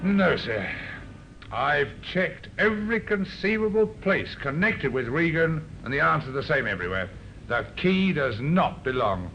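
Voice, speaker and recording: An actor playing a polite, apologetic and diligent police sergeant in a vintage radio drama. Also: male voice, a little high, big pitch swings, pushed and bright, exaggerated voice. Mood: dutiful reporting. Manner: halting, courteous. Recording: muffled, hissy old radio recording.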